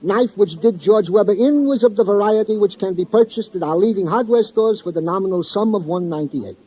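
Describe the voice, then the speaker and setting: An actor playing an earnest, pompously wordy police sergeant with florid, over-formal phrasing in a 1950s radio drama. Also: male voice, sits high, brisk, dark tone, slightly rough. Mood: officious. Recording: dull old radio recording.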